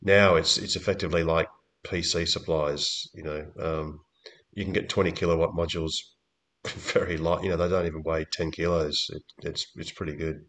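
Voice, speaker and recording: A man with a low-pitched voice speaks casually as a panel host. He explains things in a practical, technical manner, as part of an informal group chat. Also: flat delivery, normal speed, fairly bright tone.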